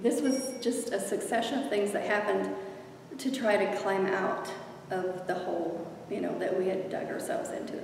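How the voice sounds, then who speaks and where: A woman's voice: mid-range voice, fairly even intonation, moderate pace, creaky, darkish tone, soft background music. A woman in her sixties recalling hard times in a reflective, hesitant way. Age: 60s. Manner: reflective, hesitant, lots of fillers. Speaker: senior housing resident. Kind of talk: interview testimony. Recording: hall-like reverb, distant mic, noise.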